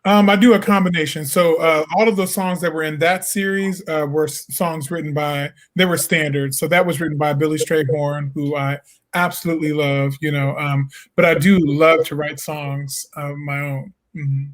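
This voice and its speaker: Man from illinois, fairly high voice, emphatic, jazz singer being interviewed. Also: moderate pace. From Peoria, Illinois. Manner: expressive, emphatic storyteller. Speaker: jazz vocalist guest. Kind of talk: casual interview chat.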